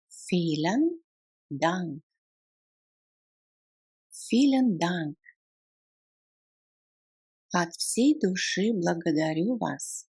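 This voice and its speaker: A woman language teacher reading out phrases for learners to repeat, enunciating deliberately, word by word. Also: lowish voice, darkish tone, slightly rough. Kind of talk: slow phrase drill with translations, listen and repeat.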